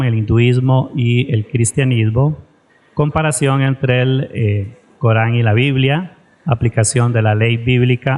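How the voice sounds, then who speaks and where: A man's voice: mid-range voice, darkish tone. A man moderating an event, formally introducing the speakers, hesitating often with filler sounds.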